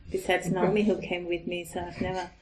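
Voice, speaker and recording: A middle-aged woman from Austria with an Austrian German accent, a Buddhist nun giving a gentle, halting dharma talk. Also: lowish voice, flat delivery, slight background noise. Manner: gentle, hesitant, halting with restarts.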